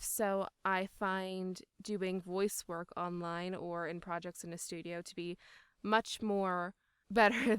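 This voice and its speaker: A woman's voice: mid-range voice, fairly bright tone, clear, resonant tone, dry recording, studio quality. A woman speaking as an interviewed voice actor, in casual, enthusiastic and emphatic conversation.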